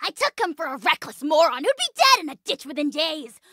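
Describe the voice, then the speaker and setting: A pint-sized, pompous male dragon spirit from a cartoonish video game. The voice is piercingly high and swoops wildly, with a sharp, gravelly squawk. He is bratty, haughty and quick to explode. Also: very animated, no room sound, clean studio sound, full character voice. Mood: haughty, grudging. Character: tiny pompous, bad-tempered male dragon spirit companion.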